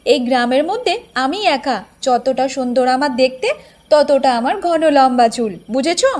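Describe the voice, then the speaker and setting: A woman's voice: sits high, normal speed, darkish tone, close to an everyday voice. A woman voicing a vain, haughty young village wife in a cartoon folktale, boastful and scolding. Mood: indignant, scolding. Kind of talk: Bengali cartoon folktale acting.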